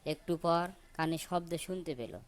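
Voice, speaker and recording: A man's voice: on the high side, measured pace. A man narrating a children's folk tale for a cartoon. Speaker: story narrator.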